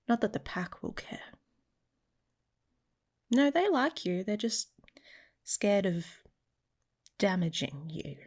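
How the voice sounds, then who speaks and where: Woman playing a slow, husky, whispery she-wolf lover. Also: fairly low pitch. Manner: soft, often whispered, casual and teasing. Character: protective, teasing, pessimistic female werewolf mate. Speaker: audio roleplay performer. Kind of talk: intimate ASMR romance roleplay.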